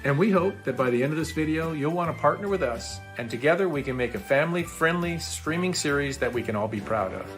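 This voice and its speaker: A man presenting a campaign in scripted promotional narration, measured and earnest. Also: on the high side, lively intonation, over music. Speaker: campaign presenter and film maker.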